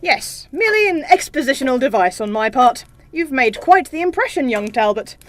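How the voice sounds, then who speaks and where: Woman playing a high-voiced pompous gentleman boss. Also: very animated, moderate pace. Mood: brisk, pompous. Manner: formal, pompous, theatrical. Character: genteel, pompous male office boss, Mister Markby. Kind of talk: comic gothic audio drama acting.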